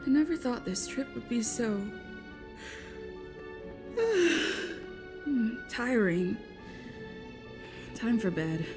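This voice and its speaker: Poised, slow-spoken woman navigator. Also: on the high side, big pitch swings, over music, heavily acted. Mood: weary, gentle. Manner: unhurried, composed. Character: poised, gently teasing adult woman, starship navigator. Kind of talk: scripted video game dialogue.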